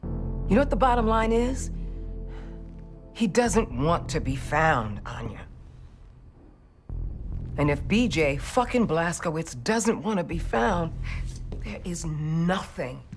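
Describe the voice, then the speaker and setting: Low-voiced, blunt female agency chief. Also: big pitch swings, takes it slowly, pauses often, soft background music, heavily acted. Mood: emphatic, exasperated. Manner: blunt, emphatic, profane. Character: brash, blunt, foul-mouthed female FBI chief.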